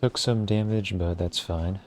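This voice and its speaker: A man giving casual, low-key gameplay commentary, thinking aloud as he livestreams. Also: measured pace, a little hiss or hum.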